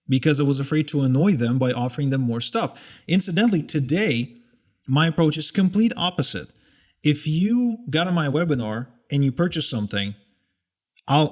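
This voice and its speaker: A man's voice: a little high, a little mellow. A man in his thirties in a casual interview, talking candidly and poking fun at his own past mistakes. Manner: candid, self-deprecating. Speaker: interviewee sharing business mistakes. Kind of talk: casual conversation.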